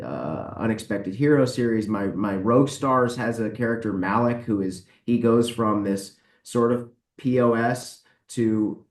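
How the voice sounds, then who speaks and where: A man talking casually and emphatically on a podcast as an author, giving his opinions. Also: fairly low pitch. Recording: roomy echo, distant from mic.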